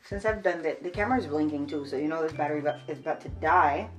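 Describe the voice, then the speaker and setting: Woman, 20s, from Jamaica, casual vlog chat, low-ish voice, camera mic a few feet off, faint music behind. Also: quick pace. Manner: chatty, explains step by step while working with her hands. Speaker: vlogger. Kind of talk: casual how-to vlog talk. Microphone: camera on a shelf a few feet away.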